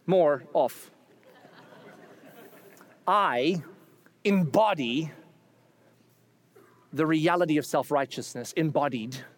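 A man preaching a sermon, animated and humorous, acting out quoted figures. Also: on the high side. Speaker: pastor.

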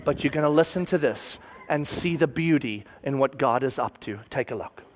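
A man's voice: medium pitch, moderate pace. A middle-aged man, a pastor, giving an emphatic sermon and telling stories to his congregation. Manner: emphatic, storytelling preaching.

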